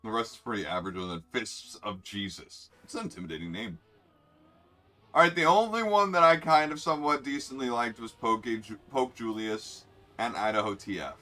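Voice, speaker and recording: A man's voice: lowish voice, a little fast, sharp tone. A young man streaming a racing game, rambling and joking as he reads out player names, with faint music behind. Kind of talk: casual live game commentary.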